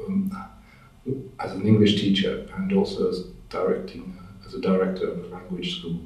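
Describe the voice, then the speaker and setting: A man from France answering interview questions as a language teacher, talking in a halting way as he searches for words. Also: low voice, big pitch swings, vocal fry, a little mellow. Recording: echoey room, voice from far off the mic.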